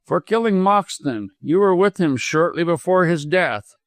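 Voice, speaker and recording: Guy reading as a firm no-nonsense doctor in a novel, curt and commanding, raised pitch, very dry recording. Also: animated, a little slow, dry recording. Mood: cool, accusing. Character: stern, protective male doctor, cool and sharp.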